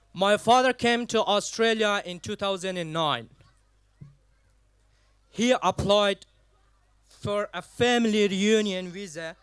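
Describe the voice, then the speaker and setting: A young man with an Afghan accent giving a personal speech at a community event, halting and deliberate, in short non-native English phrases. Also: sits high, a bit bright, clear, resonant tone, no echo. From Afghanistan, raised partly in Pakistan. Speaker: guest speaker at a community event. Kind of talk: public speech, personal testimony.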